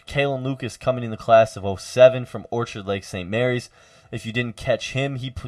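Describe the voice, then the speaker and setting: A young man hosting a college radio sports talk show, loud and energetic, halting with many fillers. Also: fairly low pitch, no room sound, studio quality.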